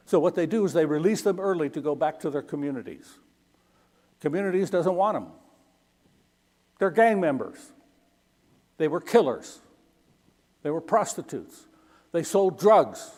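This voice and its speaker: A man's voice: a little high, a little mellow. A middle-aged missionary man giving a folksy Sunday school talk, often checking in with "okay?". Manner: folksy, keeps asking "okay?". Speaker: missionary speaker. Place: church hall. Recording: long reverb of a large hall.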